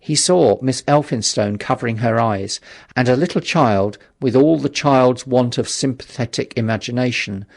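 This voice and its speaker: A man reading a classic novel as an audiobook narrator, in measured, dramatic storytelling. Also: mid-range voice.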